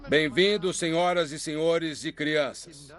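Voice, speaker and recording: A man dubbing a German camp officer in a documentary reenactment, smoothly falsely courteous and then barking orders. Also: fairly high pitch, a little slow, a bit bright, faint music behind. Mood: falsely polite.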